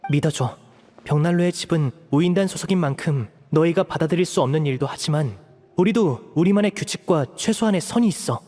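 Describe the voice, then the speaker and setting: Dutiful young male leader for a game, acted by a man: fairly high voice, polite, measured, reverberant sound. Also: lightly acted. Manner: calm, measured, explanatory. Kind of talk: video game voice acting.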